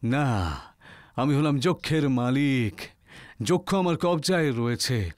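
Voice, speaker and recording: A man's voice: mid-range voice, animated, slow pace, dry recording, studio quality, voice pushed down. A man voicing a sinister guide who serves a demon, speaking in an ominous, gloating, taunting way for a horror story. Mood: gloating menace. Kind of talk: audio horror story acting.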